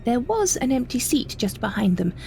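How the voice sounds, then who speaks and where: A woman performing an audio drama monologue as an older woman wryly recalling her childhood, in a measured, precise, slightly husky voice with deliberate pauses. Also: on the high side, sing-song, dark tone, a little hiss or hum. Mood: wistful, confiding. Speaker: monologue narrator. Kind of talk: scripted first-person audio drama narration.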